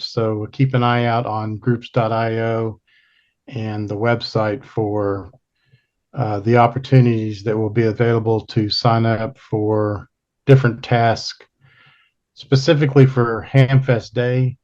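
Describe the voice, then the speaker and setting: A man's voice: medium pitch, a little mellow. A man making a matter-of-fact, informal announcement as a club officer over a dull video call. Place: online video meeting.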